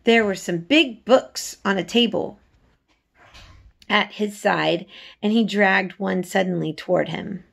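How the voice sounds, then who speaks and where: A woman's voice: mid-range voice, slow, gravelly, fairly bright tone. A woman, reading a storybook aloud expressively like a teacher. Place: small room indoors. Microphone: phone at arm's length. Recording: faint room noise.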